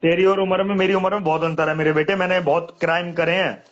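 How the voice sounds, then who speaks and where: A man's voice: high-pitched, level tone, fast, highs cut off. A man in his thirties arguing on a live stream, insisting on respect and repeating his demands. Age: 30s. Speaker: stream guest. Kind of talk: heated casual argument.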